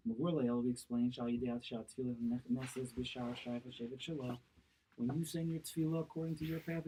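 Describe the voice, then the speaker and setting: Husky-voiced rabbi teaching a class. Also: male voice, a little high, a little mellow, rough recording. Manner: questions and answers himself, repeats key phrases. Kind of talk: Torah class, explaining a text. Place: small synagogue study room, seated at a table. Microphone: camera a few feet away across the table.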